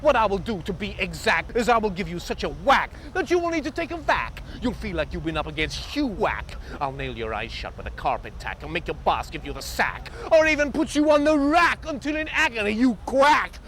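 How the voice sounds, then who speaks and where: Male performer as swaggering, threatening rap hard man, high and bright, theatrical rhyming delivery, faint noise behind. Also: lively intonation, a little fast, hardly any pauses. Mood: boastful menace. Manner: boastful, menacing, theatrical. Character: brash swaggering tough-guy rapper making violent threats.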